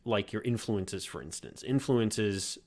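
A man's voice: lowish voice, unhurried, a little mellow. A man in his thirties hosting a podcast interview over a video call, loose and friendly, rambling with many fillers. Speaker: podcast host. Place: room at home. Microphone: headset with desk mic on video call.

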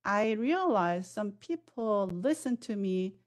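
A woman in her forties with a non-native English accent, speaking on a video-call panel through a headset. She answers in a measured, reflective way with pauses and fillers. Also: medium pitch, lively intonation.

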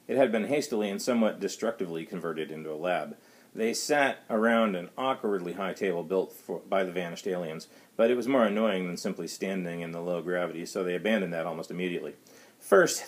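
Deep-voiced man reading a story aloud. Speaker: author reading own story. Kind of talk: reading a science fiction story aloud, voicing dialogue. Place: small home study, indoors.

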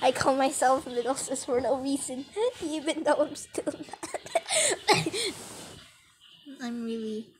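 A woman's voice: high voice, very animated, takes it slowly, background noise. A teenage girl chatting casually over a screen recording of her phone, giggly and easily distracted. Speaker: vlogger. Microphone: phone mic.

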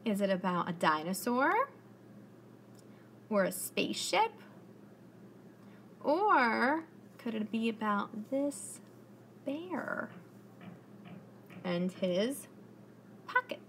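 A woman in her thirties reading aloud as a preschool teacher in a classroom, encouraging and deliberate, asking questions. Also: a little high, very animated, fairly bright tone. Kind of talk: children's read-aloud with questions. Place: indoors, school classroom. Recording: faint room noise.

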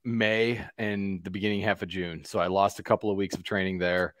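Low-voiced, easygoing man on a headset. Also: brisk, no echo. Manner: relaxed, slightly hesitant. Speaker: guest panelist. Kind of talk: casual chat. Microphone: headset on video call.

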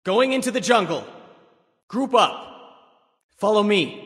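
High-pitched, proud warrior god. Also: male voice, expressive, measured pace, a bit bright, in a large hall. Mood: commanding. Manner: crisp, declarative, theatrical commands. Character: proud, commanding warrior sage god with taunting confidence. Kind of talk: video game voice pack callouts.

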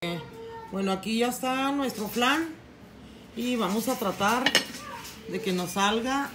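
A middle-aged woman explaining a recipe step by step in her home kitchen, unhurried and plain, with frequent restarts. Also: fairly high pitch, very noisy. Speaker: home cooking channel host. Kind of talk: casual cooking tutorial.

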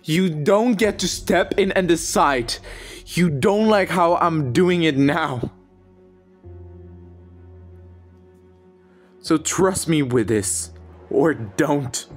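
A man's voice: a little high, takes it slowly, faint music behind. A man voicing an exasperated, short-tempered male king of hell in a fan comic dub, whining and snapping. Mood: angry. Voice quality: exasperated, whiny.

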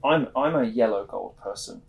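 A man's voice: medium pitch, moderate pace, creaky. A chatty man hosts a shopping show. He talks in bursts and restarts his sentences often. His voice sits in an ordinary middle range and is recorded clean on a lapel mic in a studio. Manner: chatty, lively, many restarts. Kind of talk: casual product chat. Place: studio set with bookshelves.

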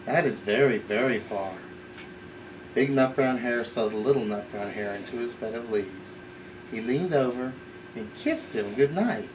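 A man's voice: mid-range voice, expressive, normal speed. A middle-aged man reading a bedtime storybook aloud to a baby. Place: small living room, indoors. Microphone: camcorder a few feet away. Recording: noisy home camcorder, room hum.

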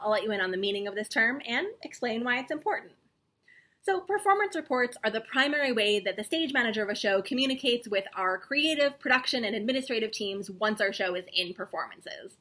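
A woman's voice: a little high, animated, brisk, fairly bright tone. Young woman presenting a scripted explainer. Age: young adult. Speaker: video series host, theater stage manager. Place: small indoor room with bookshelves.

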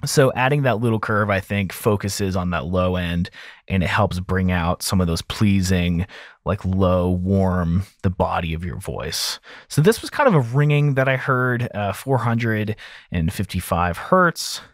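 A man with a fairly low voice walks through a tutorial as an audio engineer. He talks informally, thinking aloud, in a dry, close-miked recording. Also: lively intonation, a little slow, no echo. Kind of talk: casual explanatory screen tutorial.

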